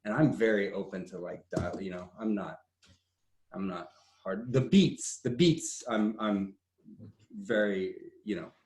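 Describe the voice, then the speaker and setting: Man, low register, loose rambling director type, echoey call audio. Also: a little slow, a little mellow. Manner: rambling, loose explanations with many restarts. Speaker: writer-director. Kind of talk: casual video-call meeting talk.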